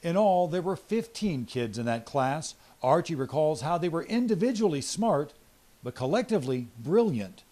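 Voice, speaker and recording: A man's voice: a little high, big pitch swings, normal speed, dark tone. A man with an American accent, a TV news reporter reading scripted feature narration.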